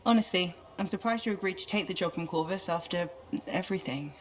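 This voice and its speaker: A woman's voice: on the low side, quick pace, close to an everyday voice. An actress playing a curious, determined female mission specialist in a video game, with a wry, questioning manner. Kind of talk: video game cutscene acting.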